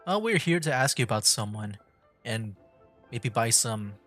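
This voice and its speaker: A man's voice: medium pitch, expressive, moderate pace, fairly bright tone, faint music behind. A man giving casual live game commentary as a streamer, rambling as he thinks aloud.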